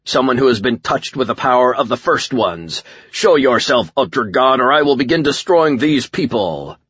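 An arrogant alien conqueror from a superhero audiobook. The voice is pushed up and rough, bellowing every grand phrase with slow menace. The sound is slightly dull and close. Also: male voice, medium pitch, animated, fairly bright tone, pitched above the performer's own voice. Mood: threatening. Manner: bellowing, grandiose, drawn-out pronouncements.

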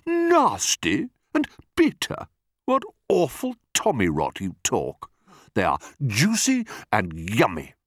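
Guy doing a big greedy croc for a kids story, boasting and savouring every word, slow n swoopy. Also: deep voice, very animated, fairly bright tone, no echo, studio quality, heavily acted. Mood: scornful, relishing. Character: enormous greedy boastful male crocodile, sly child-eater.

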